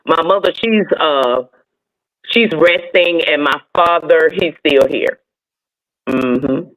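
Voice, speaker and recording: A woman's voice: low-pitched, slow, low-quality recording. Easygoing woman. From North Carolina. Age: 50s. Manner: easygoing, asks simple questions while checking the chat. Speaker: guest co-host. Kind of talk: casual live-stream chat.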